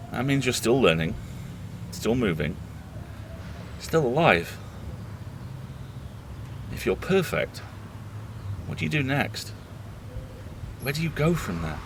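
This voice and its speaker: A man playing a weary, reflective horror host, speaking intimately to listeners in a deliberate, halting, earnest audio drama monologue. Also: mid-range voice, slight background noise. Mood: reflective, searching.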